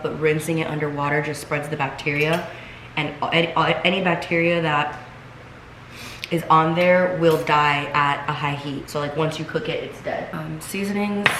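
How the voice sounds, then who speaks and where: Young female vlogger, low pitch, stuffy congested voice, chatty and loose, in an echoey room with background noise. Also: fairly bright tone. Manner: chatty, filler-heavy, talks straight to viewers. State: congested, recovering from illness. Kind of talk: casual chatty vlog. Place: home kitchen, then seated at home.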